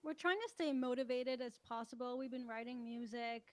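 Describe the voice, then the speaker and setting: A woman's voice: a little high, fairly even intonation, a little fast, dry recording. A young woman, a musician chatting casually as an interview guest. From Toronto, Canada. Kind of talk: casual chat.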